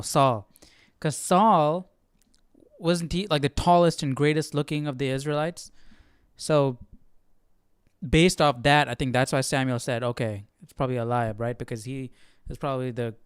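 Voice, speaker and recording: A man's voice: medium pitch, clear, resonant tone, no room sound, studio quality. A man hosting with casual live commentary, reacting informally and musing aloud. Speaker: host.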